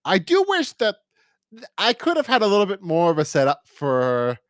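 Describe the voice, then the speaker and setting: Animated man chatting on a podcast. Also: sits high, moderate pace, no room sound, studio quality. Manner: animated, rambling, acts out imagined lines. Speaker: podcast co-host. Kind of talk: casual review discussion.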